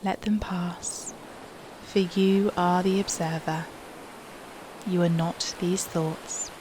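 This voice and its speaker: A woman softly leading a guided meditation in a soothing, unhurried manner, with breathy phrase endings and long pauses. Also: on the low side, steady tone, background noise.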